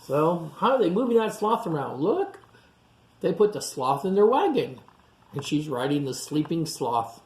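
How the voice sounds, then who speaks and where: A man reading a picture book aloud to young children, pausing for simple explanations and questions. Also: fairly high pitch, big pitch swings, darkish tone, a little hiss or hum. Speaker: storytime reader for young children. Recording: echoey room sound.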